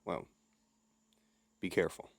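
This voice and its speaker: A man playing a gruff, wary local in a radio drama, laconic and ominous with a gravelly voice. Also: measured pace, no echo, lightly acted. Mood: wary, concerned.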